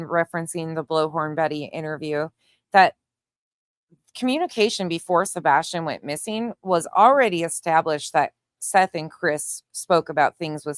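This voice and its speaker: Low-pitched woman giving pointed online commentary. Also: no echo, clean studio sound. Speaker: commentary show host. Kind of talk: opinionated online case commentary.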